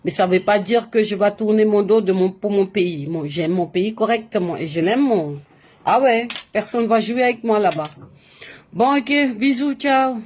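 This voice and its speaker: A woman's voice: mid-range voice, normal speed, darkish tone. Lady from latin america talking french into her phone on a live stream, emphatic, keeps repeating herself, lots of fillers and restarts. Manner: emphatic, repetitive, uses many fillers and restarts. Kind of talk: casual live-stream monologue.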